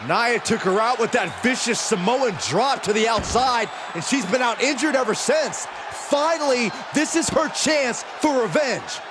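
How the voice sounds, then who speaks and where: A man doing loud, excited color commentary on video game wrestling, sounding incredulous. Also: very high voice, a little slow. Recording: noisy arena crowd behind voice.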